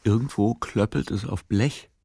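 A man's voice: fairly low pitch, unhurried, gravelly, mellow, slight background noise. A man delivering scripted literary narration for a radio play.

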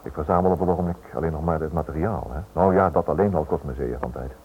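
An actor playing a smooth, prying writer in a radio play, polite but insinuating and persistent. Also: male voice, very deep voice, fast talker. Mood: polite, coaxing. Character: smooth, prying male writer probing a prisoner's wife. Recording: noisy, dull old radio drama recording.

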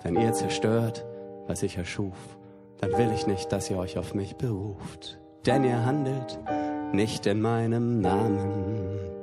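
A man reciting a spoken-word poem in a declamatory manner. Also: slow. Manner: declamatory, rising to impassioned high-pitched outbursts. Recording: loud guitar music behind.

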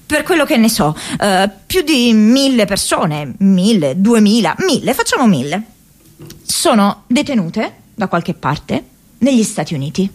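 A woman's voice: medium pitch, very animated, a little slow. An actress playing an earnest, quirky young woman activist in a radio monologue, hesitant and rambling, often stammering and repeating herself. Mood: earnest, reflective.

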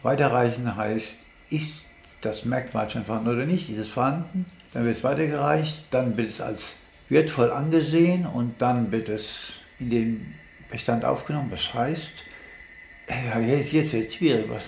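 A man explaining science off the cuff, halting and deliberate, as if thinking aloud. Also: medium pitch, vocal fry, a little mellow. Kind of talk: unscripted explanatory monologue. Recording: echoey room with background noise.

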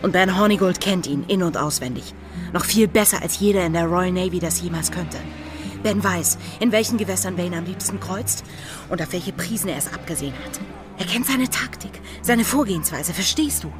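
A woman's voice: on the low side, moderate pace, lightly acted. An actress playing a scheming, determined female pirate in a radio drama, speaking with urgent resolve. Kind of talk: German radio drama acting. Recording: music underneath.

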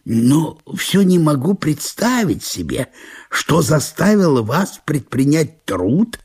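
A man's voice: a little high, big pitch swings, slow pace, darkish tone, husky, heavily acted. A man playing a genial, fussy, easily astonished provincial judge with a courteous, exclamatory manner in an audio drama. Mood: courteous, puzzled.

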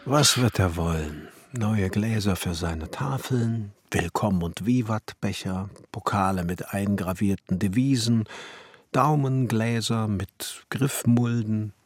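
Shrewd alchemist, low husky voice, hushed inner monologue, radio play, close and dry. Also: male voice, slow pace, no echo. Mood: scheming. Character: shrewd court alchemist and glassmaker, deferential, calculating. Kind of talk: German radio drama monologue.

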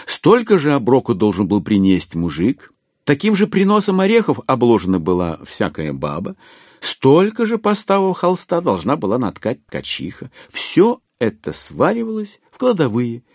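A man narrating a literary story in an expressive, theatrical storytelling manner. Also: mid-range voice, normal speed, no echo, studio quality. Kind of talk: scripted dramatic literary narration.

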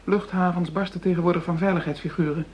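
An actress playing a bold, seductive woman crook in a radio drama, with a cool, teasing and brisk manner. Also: lowish voice, fairly even intonation, a little mellow, slightly rough. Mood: brisk, wary. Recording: dull lo-fi radio drama recording.

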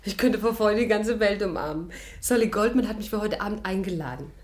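An actress playing a plucky, wry adventuress in a 1950s German radio play, breezy and teasing. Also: female voice, mid-range voice, brisk, lightly acted. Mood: elated. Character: plucky, wry adventuress heroine, quick to enthuse.

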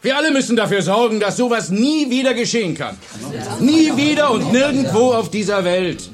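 An actor playing an earnest, patient history teacher in a radio drama, explaining emphatically to a class. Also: male voice, high voice, very animated, moderate pace, a crowd in the background. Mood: insistent. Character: male high school history teacher, earnest, patient, engaged. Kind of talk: German radio drama acting.

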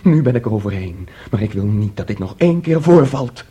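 An anxious, husky boyish young man. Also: fairly low pitch, lively intonation, mellow, highs cut off. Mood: brooding, defiant. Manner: agitated, halting, repeating himself. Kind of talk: Dutch radio play acting.

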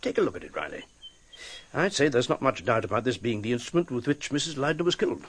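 A man playing a gruff, sceptical British police captain in a radio drama, brusque and matter-of-fact, with a British accent. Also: mid-range voice, sing-song, quick pace, a bit bright. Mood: grimly factual. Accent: British English.

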